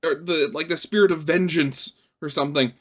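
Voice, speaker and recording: High-voiced rambling young man. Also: steady tone, fairly quick, a bit bright. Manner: rambling, heavy with "like" fillers and restarts. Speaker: reaction video host. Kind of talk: casual commentary reacting to a video.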